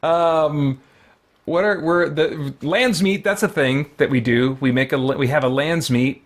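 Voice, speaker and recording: A man's voice: a little high, a little slow. A man hosting a live game stream, chatting informally and thinking aloud as he goes. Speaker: live streamer, gaming channel host. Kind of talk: casual live game stream chat and recap.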